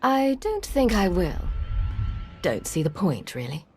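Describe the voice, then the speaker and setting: A woman's voice: lowish voice, big pitch swings, unhurried, a little mellow, soft background music. A woman playing a cool, sardonic imperial agent in a video game, dry, clipped and controlled. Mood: dry, probing.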